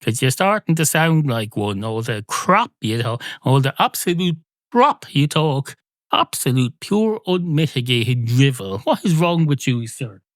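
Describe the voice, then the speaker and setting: A man's voice: medium pitch, animated, a little slow, no echo, studio quality, lightly acted. A man voicing a talking cat, a sceptical and blunt bar customer in a comic monologue. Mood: exasperated.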